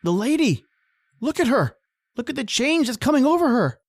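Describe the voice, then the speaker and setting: An actor playing a nervous but loyal manservant in an old-time radio drama, apprehensive and deferential. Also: male voice, very high-pitched, sing-song, normal speed, heavily acted. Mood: alarmed.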